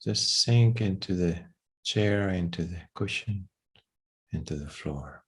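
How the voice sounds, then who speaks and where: A man leading a guided meditation in a gentle, unhurried way, with long pauses between phrases. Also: deep voice, breathy edge.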